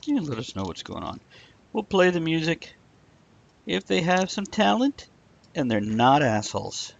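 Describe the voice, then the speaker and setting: A man's voice: fairly high pitch, sing-song, a little slow, creaky, lo-fi sound. A man co-hosting a live stream show, chatting casually with sarcastic, rambling jokes. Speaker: podcast co-host. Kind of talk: casual chat.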